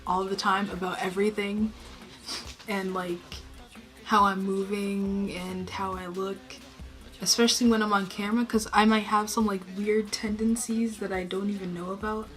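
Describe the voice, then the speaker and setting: Subdued teenage girl rambling low. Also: flat delivery, moderate pace, fairly bright tone, faint music behind. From New York State. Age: teens. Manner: low subdued voice, self-conscious, rambling with many fillers. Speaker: vlogger. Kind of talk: casual rambling to camera. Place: her bedroom. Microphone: camera in her room.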